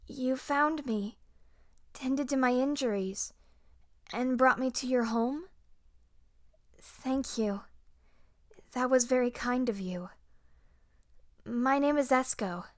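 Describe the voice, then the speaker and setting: A woman playing a wounded young Jedi survivor in an audio roleplay, gentle and haunted, with a soft, hesitant delivery. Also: high-pitched, dry recording, studio quality. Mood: dazed, grateful. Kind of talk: dramatic first-person audio roleplay.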